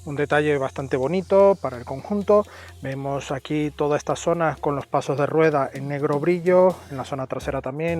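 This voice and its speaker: A man's voice: on the high side, a little mellow. A man from Venezuela with a Venezuelan accent, presenting a casual car review in a chatty, explaining way. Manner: chatty, explaining details with tag questions.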